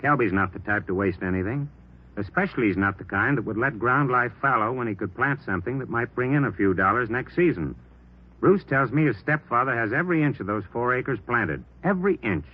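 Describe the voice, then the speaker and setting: An American actor playing a shrewd police captain in a radio crime drama, brisk and authoritative as he briefs his detectives. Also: medium pitch, lively intonation, husky. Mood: resolute. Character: shrewd, decisive male police captain giving orders. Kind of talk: 1950s radio drama acting. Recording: dull vintage radio recording.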